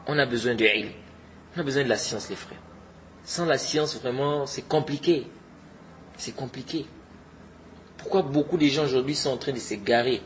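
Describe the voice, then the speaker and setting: A man's voice: mid-range voice, normal speed, long pauses, slight background noise. A man giving an informal religious lesson, exhorting his listeners and often repeating his points with Arabic religious terms mixed in. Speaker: religious teacher.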